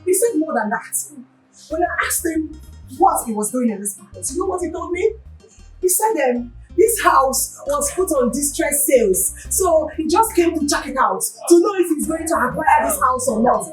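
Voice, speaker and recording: An actress playing a haughty, furious young princess in a screen drama, with a Nigerian accent and an imperious, ranting, emphatic delivery. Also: female voice, high voice. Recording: roomy echo, faint music behind.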